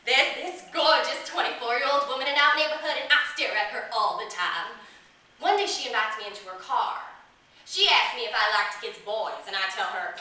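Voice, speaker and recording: A woman performing a dramatic stage monologue as a woman reliving girlhood memories, childlike and candid, with emphatic delivery, drawn-out words and pauses. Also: a little high, animated, sharp tone. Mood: smitten, hushed. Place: small theatre stage. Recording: echoey theatre, recorded from a distance.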